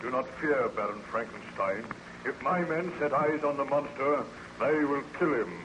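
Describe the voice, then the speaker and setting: A man playing a steady, protective ship's captain in an old-time radio drama, formal, earnest and reassuring. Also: mid-range voice, normal speed, natural voice. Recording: dull, noisy old radio recording.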